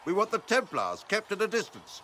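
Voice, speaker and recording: An actor playing an indignant mage in a video game, querulous and demanding. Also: male voice, sits high, huge pitch swings, brisk, bright, forceful tone, slight background noise, exaggerated voice. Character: indignant, complaining male mage.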